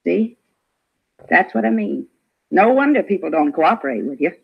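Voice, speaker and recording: A raspy, creaky, nosy neighbor woman. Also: fairly low pitch, very animated, moderate pace, full character voice. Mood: huffy, irritated. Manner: huffy, argumentative, clipped emphatic phrasing. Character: nosy, prickly, self-important gossiping female neighbor. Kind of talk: 1950s radio drama acting. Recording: dull vintage radio recording.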